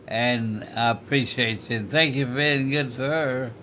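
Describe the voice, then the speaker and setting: A man, talking casually to family in a halting, rambling, folksy and playful way. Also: medium pitch, pushed and bright, a little hiss or hum. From Oklahoma, United States. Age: 90s. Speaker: retired preacher, grandfather of the vlogger.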